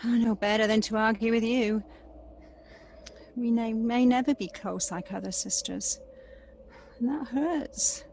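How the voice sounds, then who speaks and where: A woman's voice: a little high, measured pace, pauses often, darkish tone, a little hiss or hum. A woman playing an anxious, needy, emotional sister in an audio play. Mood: tender, hurt.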